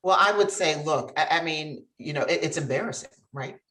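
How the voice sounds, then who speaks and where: A woman's voice: low voice, normal speed, fairly bright tone. A woman from Switzerland speaking as a panelist in an earnest, emphatic, conversational way. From grew up in Geneva, Switzerland.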